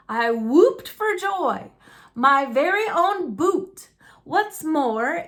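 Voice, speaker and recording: Bright, high-voiced woman reading a storybook slowly. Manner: folksy, dramatic, deliberate delivery. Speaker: read-aloud storyteller. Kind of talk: expressive children's picture book reading.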